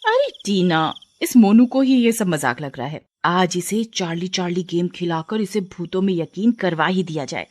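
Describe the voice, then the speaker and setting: A woman's voice: fairly low pitch, expressive, normal speed, hardly any pauses. A woman voicing a bold, stern young woman in a Hindi audio story, speaking in earnest warnings. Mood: challenging.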